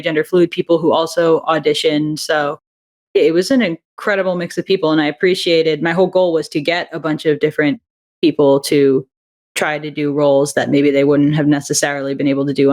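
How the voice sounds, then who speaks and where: A woman guest on a podcast, an audio drama creator, talking chattily with many fillers. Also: sits low. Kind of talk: casual interview chat.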